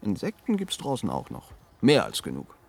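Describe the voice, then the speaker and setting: Hushed, wary man in a radio play. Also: lowish voice, huge pitch swings, moderate pace, gravelly, full character voice. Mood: watchful. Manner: hushed, hesitant, partly whispered. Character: wary male worker in a futuristic animal park. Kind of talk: German science-fiction radio play acting.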